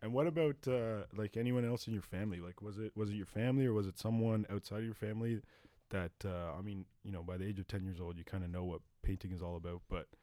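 A young man hosts a relaxed podcast chat, laughing easily and tossing in a friendly "eh". His voice sits low and the sound is dry and close. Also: quick pace, no echo. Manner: laughs often, loose and joking, says "eh". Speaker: podcast host. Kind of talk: casual interview chat.

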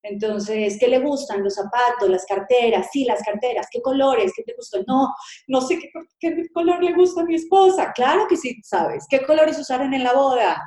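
A woman's voice: sits high, moderate pace. Colombian-born woman telling stories, echoey room. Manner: lively storytelling, acts out dialogues. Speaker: guest, cake designer. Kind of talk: conversational interview.

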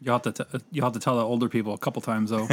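A man's voice: mid-range voice, fairly even intonation, fast talker. A man chatting casually as a co-host on a film review podcast. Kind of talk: casual chat.

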